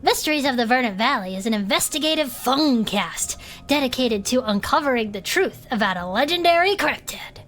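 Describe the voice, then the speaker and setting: A woman's voice: on the high side, fairly bright tone. A woman playing a friendly, bookish turtle-folk investigator who explains things earnestly and chirpily as a podcast host in an audio drama. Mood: cheerful, earnest.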